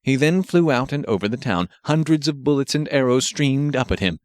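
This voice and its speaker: Male narrator for action fiction audiobook: mid-pitched voice, scripted read, dry studio-like sound. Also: lively intonation, fairly quick, no room sound.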